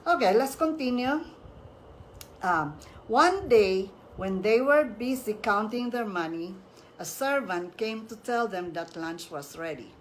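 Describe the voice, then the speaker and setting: Slow-paced woman storyteller from the Philippines. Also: mid-range voice, expressive, a little hiss or hum, poor audio. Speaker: host reading folktales. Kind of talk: storytelling with casual explanatory asides.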